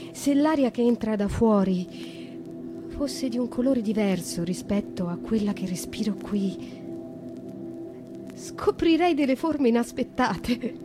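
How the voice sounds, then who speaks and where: An actress playing a brooding young noblewoman imprisoned in a dark cell, speaking in a lyrical, slow, reflective way in a radio drama monologue. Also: fairly high pitch, lightly acted.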